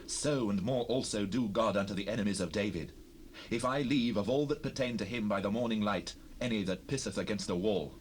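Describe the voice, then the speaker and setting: A man's voice: fairly low pitch, a little fast, natural voice. An actor playing a proud, devout warrior leader in a dramatized King James Bible, speaking in solemn, declamatory archaic style. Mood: aggrieved, vengeful. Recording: unprocessed cassette rip with noise.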